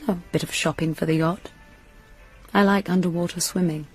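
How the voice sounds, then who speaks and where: A worldly young mistress in a radio drama. Her voice is lowish and husky, cool and guarded with a blunt edge, over light music. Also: fairly even intonation, normal speed. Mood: offhand, cool.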